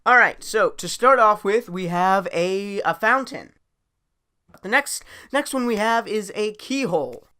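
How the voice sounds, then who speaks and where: A man casually improvising a story as host, halting and thinking aloud as he goes. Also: sits high, expressive, bright, forceful tone, clear, resonant tone.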